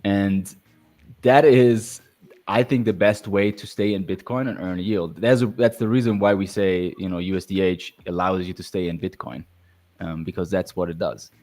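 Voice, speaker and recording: Low-voiced man explaining casually. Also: moderate pace. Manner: relaxed, explanatory, frequent restarts. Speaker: guest, crypto founder. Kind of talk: casual podcast chat.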